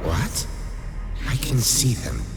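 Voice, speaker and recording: An actor playing a commanding, sardonic undead lich sorcerer in a dark fantasy radio play, gravelly and imperious. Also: male voice, medium pitch, wildly swooping pitch, exaggerated voice. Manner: slow, imperious, drawn-out delivery. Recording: echoing voice over background music. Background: music.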